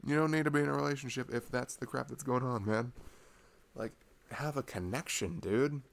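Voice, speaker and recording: A man's voice: mid-range voice, fairly quick, gravelly. A man in an intimate ASMR boyfriend chat, rambling in a slangy, jokey way. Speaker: ASMR boyfriend roleplay performer.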